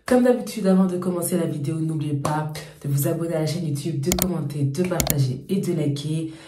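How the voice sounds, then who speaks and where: Hoarse low-voiced woman with a cold. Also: fairly quick, echoey room, noisy background. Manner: repetitive, chatty thanks to viewers. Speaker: YouTube channel host. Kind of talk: casual talk to camera.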